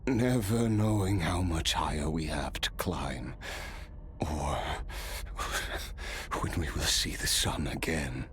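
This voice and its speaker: A man playing a weary, cursed immortal warrior in a video game, regretful and reflective, speaking in halting, formal phrases. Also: rumbling low, a bit bright, a little hiss or hum, full character voice. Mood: somber, musing. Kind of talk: dramatic video game voice acting.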